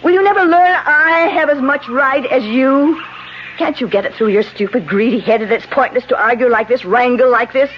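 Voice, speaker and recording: A woman's voice: high voice, normal speed, natural voice. An actress playing a stern, protective guardian woman with a commanding, theatrical delivery in a 1970s radio drama. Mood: indignant. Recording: muffled 1970s radio recording with hiss.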